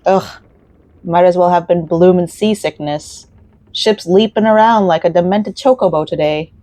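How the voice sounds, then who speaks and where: A woman's voice: lowish voice, moderate pace, dark tone, no echo, natural voice. A woman reading out a friendly sailor's game dialogue in her own plain voice, without an accent. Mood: reading aloud.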